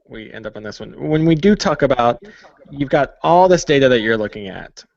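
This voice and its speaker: A man's voice: medium pitch, animated, a little fast, creaky, a little mellow, dull audio. A man hosting a podcast panel, asking long rambling questions with restarts. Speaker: podcast host.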